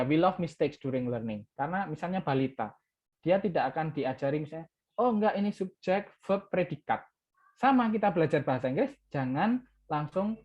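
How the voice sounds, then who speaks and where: A man's voice: fairly high pitch, highs cut off. A man from Java with an Indonesian accent and Javanese as his first language, lecturing an English class and switching between English and Indonesian, repeating key words with "ya".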